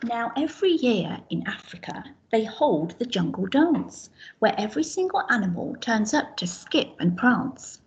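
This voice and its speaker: A woman's voice: a little high, sing-song, unhurried, lo-fi sound. A woman teacher reading a rhyming picture book aloud to young children, pointing out the pictures as she goes. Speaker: infant school teacher.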